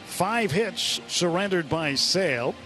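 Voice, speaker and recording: An American man doing live radio play-by-play of a baseball game, with unhurried pitch calls and pauses between phrases. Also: expressive, bright, forceful tone, soft background music, poor audio. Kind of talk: live sports commentary. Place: ballpark broadcast booth. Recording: live stadium broadcast, ambient noise.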